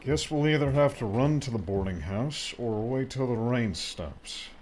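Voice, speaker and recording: A man voicing a brisk, fatherly older man who teases and looks after others. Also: medium pitch, expressive, darkish tone, a little hiss or hum, voice pushed up, natural voice. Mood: friendly. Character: older man, gruff caring guardian.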